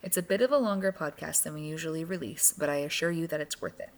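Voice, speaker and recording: A woman with a fairly low voice reads a scripted podcast introduction. Her delivery is formal and polished, in the manner of a program manager. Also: fast talker.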